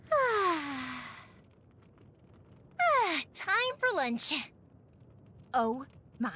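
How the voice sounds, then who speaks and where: An actress voicing a cheerful, chatty young woman who makes fireworks in a video game, playful and expressive. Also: very high-pitched, exaggerated voice. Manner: bubbly, expressive, talkative. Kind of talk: animated video game character voice acting.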